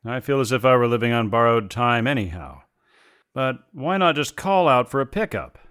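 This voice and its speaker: A man plays a modest mercenary adventurer in an audio drama. His mid-pitched voice moves in a lively way, while his delivery stays polite, wary and understated. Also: normal speed, clean tone, close to an everyday voice. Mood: curious, wary. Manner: polite, plain-spoken, understated.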